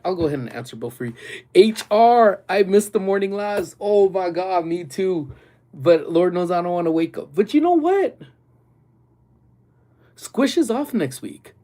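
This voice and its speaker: A man hosts a laid-back livestream, rambling through his chat with lots of fillers and easy laughs. His voice is mellow and darker in tone, with a slightly nasal, congested edge. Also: on the high side. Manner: rambling, loose talk with many fillers and frequent laughs. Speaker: livestream host. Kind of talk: casual livestream chat with viewers.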